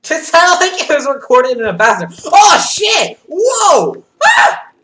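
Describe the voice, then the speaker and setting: A man streaming a game live, reacting excitably with yelps and shouts, keyboard clicking behind him. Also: very high-pitched, slow, reverberant, noisy background. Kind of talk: live gameplay commentary. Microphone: desk mic with audible keyboard.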